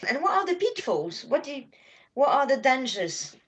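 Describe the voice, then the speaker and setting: A woman's voice: high-pitched, big pitch swings, normal speed, fairly bright tone. A woman interviewer asking questions in a casual, chatty style.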